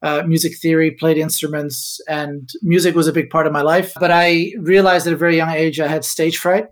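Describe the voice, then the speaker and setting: Middle-aged guy, grew up abroad, easygoing storytelling, slightly higher voice. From Netherlands and Spain. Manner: relaxed storytelling, reflective. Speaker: guest, musicologist. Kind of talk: podcast interview.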